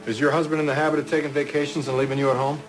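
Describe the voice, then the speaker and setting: An actor playing a serious, terse police detective in a crime drama, matter-of-fact in dialogue and voice-over. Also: male voice, on the high side, flat delivery, very fast, over music, lightly acted, low-quality recording. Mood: businesslike. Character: serious, terse male police detective, narrating his case.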